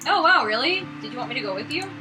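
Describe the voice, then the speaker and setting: A woman's voice: high voice, lively intonation, fast talker, over music. A woman voicing an earnest, eager young man, a painter, in a visual novel. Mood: polite.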